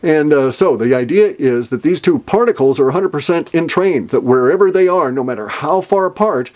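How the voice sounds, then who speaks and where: Older guy talking solo, rambly, lots of uhs and restarts, kinda guessing out loud. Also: on the high side, expressive, fairly quick. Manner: rambling, speculative, many fillers and restarts. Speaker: podcast host. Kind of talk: casual solo monologue.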